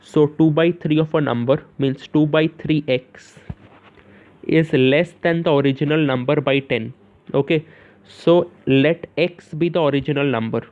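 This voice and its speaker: A man's voice: a little high, unhurried. Indian man tutoring over tinny, phone-like audio. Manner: asks questions and answers them himself; repeats phrases, punctuates with "yes" and "okay". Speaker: maths tutor. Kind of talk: informal spoken maths lesson, step by step. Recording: narrow, tinny phone-like sound.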